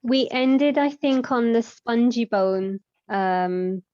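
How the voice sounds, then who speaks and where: A woman's voice: on the high side, slow, darkish tone, lo-fi sound. A sleepy woman from the UK, a student on a video call, answering hesitantly while checking her notes. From United Kingdom.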